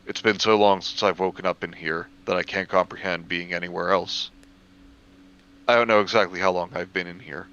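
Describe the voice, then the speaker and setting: A man reading a first-person horror story aloud in measured narration, stumbling over the occasional word. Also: low-pitched, steady tone, fairly bright tone, smooth, dull audio.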